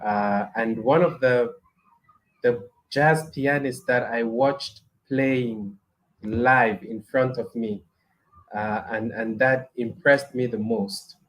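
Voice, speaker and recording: A man's voice: medium pitch, low-quality recording. A middle-aged man from Cameroon, hosting a live broadcast in a casual way, with frequent restarts, fillers and small chuckles. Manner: hesitant, many restarts and fillers, chuckles often. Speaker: host of a weekly live broadcast on space engineering. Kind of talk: casual live talk to viewers.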